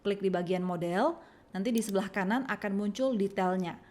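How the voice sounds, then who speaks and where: A woman presenting a scripted software tutorial in an instructional, step-by-step manner. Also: medium pitch, brisk.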